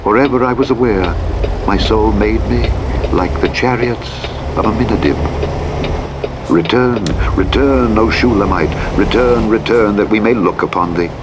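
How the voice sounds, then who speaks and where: A man narrating the Bible in a measured, solemn scripted reading. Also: fairly low pitch. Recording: clear vehicle and road noise throughout. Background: truck engine and road sounds from a driving game.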